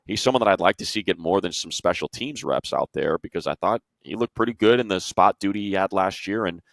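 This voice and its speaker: Very deep-voiced man talking sports. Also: brisk, creaky, no room sound. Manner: informal, conversational, talks in long runs. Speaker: sports radio commentator and show panelist. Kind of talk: casual sports analysis chat.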